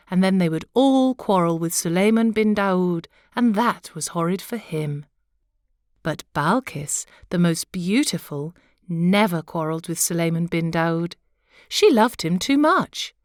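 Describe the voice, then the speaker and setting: A woman narrating a children's story expressively, with deliberate, theatrical phrasing. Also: medium pitch, dry recording, studio quality. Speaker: storyteller, professional voice artist.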